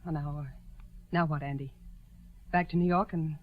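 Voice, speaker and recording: A woman's voice: deep voice, fairly even intonation, normal speed, lightly acted. A woman playing a hesitant, anxious accomplice in a crime, in 1940s radio acting style. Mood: uneasy. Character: uneasy female accomplice in a murder scheme. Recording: dull vintage 1940s radio recording.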